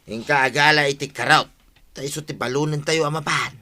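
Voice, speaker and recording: A man's voice: fairly high pitch, fairly even intonation, brisk, pushed and bright. A man with an Ilocano accent playing a sly, bossy village treasure hunter in a comedy drama, scheming and eager. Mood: sly, coaxing. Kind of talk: Ilocano comedy radio-style drama acting.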